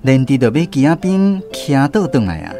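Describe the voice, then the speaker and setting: A male actor with a Taiwanese accent playing a grown man who recounts his boyhood in an animated radio drama narration. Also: mid-range voice, moderate pace. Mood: reflective, storytelling. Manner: animated first-person storytelling. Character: grown man fondly recounting his small-boy adventures. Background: plucked guitar music.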